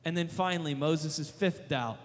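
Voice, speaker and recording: High-voiced preacher man in a reverberant hall. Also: measured pace. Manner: emphatic, repeats rhetorical questions, voices Moses and God in paraphrase. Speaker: youth preacher. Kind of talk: sermon.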